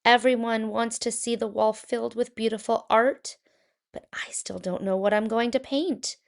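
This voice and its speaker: A woman voicing an earnest, worried male painter in a children's picture book, with animated, expressive delivery. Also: fairly high pitch, normal speed, creaky, voice pushed up.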